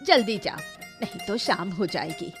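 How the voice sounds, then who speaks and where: Woman acting a slow-talking, grumbling old mother. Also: mid-range voice, lively intonation, fairly bright tone, soft background music. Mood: dismissive, excusing. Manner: scolding, complaining, excuse-making. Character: lazy, grumbling elderly mother of a grown son. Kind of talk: Hindi cartoon moral-story dubbing.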